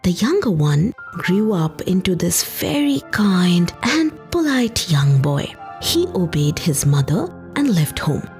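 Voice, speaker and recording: Woman storyteller with very lively intonation. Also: fairly low pitch, takes it slowly, hardly any pauses. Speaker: podcast storyteller. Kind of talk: expressive folktale narration. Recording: music behind the voice. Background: background music.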